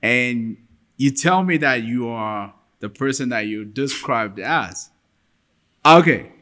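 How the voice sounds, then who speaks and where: A man hosting a podcast interview, asking questions in a measured way with frequent fillers and short affirmations. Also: medium pitch, lively intonation, fairly bright tone. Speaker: podcast host.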